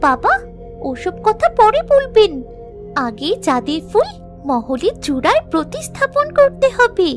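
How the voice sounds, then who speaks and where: A woman playing a kind, selfless young princess in a cartoon fairy tale, with an earnest, gentle manner. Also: very high-pitched, very animated, unhurried, faint music behind, full character voice. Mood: gentle, concerned.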